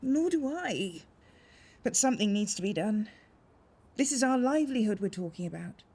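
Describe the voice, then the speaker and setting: An actress playing a worried, apologetic shopkeeper in a radio soap. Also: female voice, mid-range voice, expressive, moderate pace, dark tone, breathy edge.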